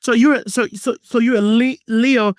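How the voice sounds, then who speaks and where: A woman's voice: a little high, fairly even intonation, normal speed, no room sound, studio quality. Sassy stammering woman host. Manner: sassy, stammers and repeats words, calls people honey and girl. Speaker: podcast host. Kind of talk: casual chat.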